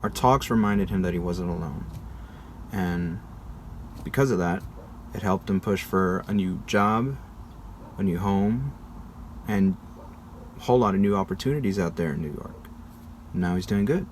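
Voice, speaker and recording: Low-voiced young American man, casual delivery. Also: unhurried, long pauses, a little hiss or hum. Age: young adult. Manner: informal, conversational. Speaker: video creator presenting a school project. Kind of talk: casual presentational vlog narration.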